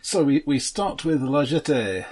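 A man's voice: mid-range voice, very animated, measured pace, darkish tone, slight background noise. A man co-hosting a podcast, casually discussing films in a wry, precise manner, often qualifying what he says. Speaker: podcast co-host.

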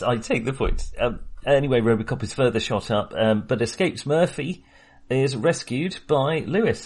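A man's voice: medium pitch, husky. A man co-hosting a casual film podcast, talking with many fillers and small laughs. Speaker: podcast co-host.

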